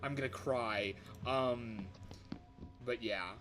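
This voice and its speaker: Excitable man live-streaming a game over music. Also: mid-range voice, slow pace. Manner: excitable, reactive, muttering to himself as he plays. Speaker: livestreamer. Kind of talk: casual live gameplay commentary.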